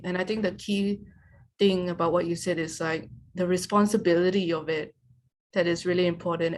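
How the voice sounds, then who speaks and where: A woman hosts a panel and talks fast, her sentences strung together with "like" and "you know". Her voice sits a little low. A faint hiss of background noise sits under her speech. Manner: fast, filler-heavy with frequent "like". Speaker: panel moderator. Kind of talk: panel discussion.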